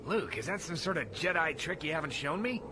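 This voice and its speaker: An actor playing a battle-worn, excitable and wry Jedi sidekick in an audio drama. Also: male voice, fairly high pitch, a little fast, heavy background noise. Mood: taunting, wry. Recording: loud music over the voice.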